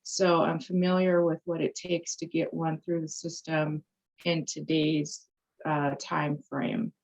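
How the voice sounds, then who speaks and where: Low, flat-voiced woman with a cold. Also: takes it slowly. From Umatilla Indian Reservation, Oregon. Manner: casual, many filler ums. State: recovering from a cold. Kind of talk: informal presentation in a town hall. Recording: muffled lo-fi audio.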